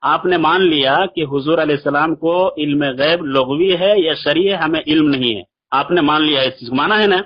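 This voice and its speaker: Casting: husky-voiced man, fairly high pitch, insistent religious debater on a dull phone recording. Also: moderate pace, few pauses. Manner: insistent, argumentative, repeats himself. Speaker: debater arguing a religious position. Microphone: phone call.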